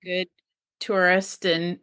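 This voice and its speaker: A woman's voice: fairly low pitch, little pitch movement, moderate pace, pushed and bright, clean tone. A woman co-host chatting casually, mostly with brief, easygoing interjections. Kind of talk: casual chat.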